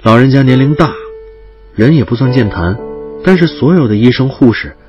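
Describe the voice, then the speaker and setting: An actor playing a composed young doctor in an audio drama, gentle and reserved, speaking with calm understatement. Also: male voice, medium pitch, normal speed, dull audio, faint music behind, natural voice. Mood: calm, tender.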